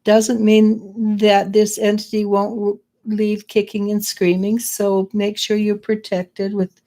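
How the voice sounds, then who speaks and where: A middle-aged woman psychic, losing her voice and clearing her throat, giving rambling spiritual advice on a live stream. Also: mid-range voice, completely flat delivery, slow, mellow. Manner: rambling, restarting sentences. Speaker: psychic reader and show host.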